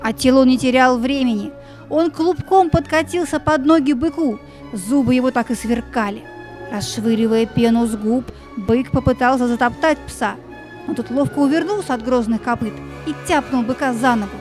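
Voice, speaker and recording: Female narrator for a children's tale: high, soft-toned, suspenseful, with faint music underneath. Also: moderate pace. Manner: expressive, suspenseful. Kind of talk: dramatic scripted storytelling.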